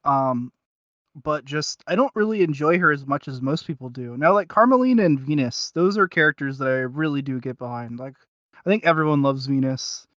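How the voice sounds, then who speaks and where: A man's voice: fairly high pitch, lively intonation, fairly quick, a bit bright, no echo, highs cut off. A man co-hosting a casual review podcast, rambling and hesitant as he searches for his words. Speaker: podcast co-host reviewing audio series.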